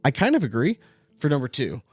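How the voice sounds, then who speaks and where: A man's voice: medium pitch, wildly swooping pitch, a little fast, breathy edge, no room sound, soft background music. A man co-hosting a podcast in casual sports chat, rambling and repeating phrases for emphasis. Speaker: podcast co-host.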